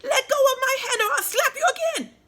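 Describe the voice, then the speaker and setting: A woman voicing a quick-tempered, demanding female fairy in a storybook. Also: extremely high pitch, bright, forceful tone, pitched above the performer's own voice, heavily acted. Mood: angry, threatening. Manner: exclaiming, demanding.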